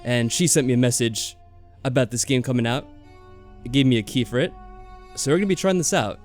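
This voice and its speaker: A man's voice: medium pitch, brisk. Rambling male let's play host over music. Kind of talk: casual chat and game commentary, reading game text aloud. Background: game music behind the voice.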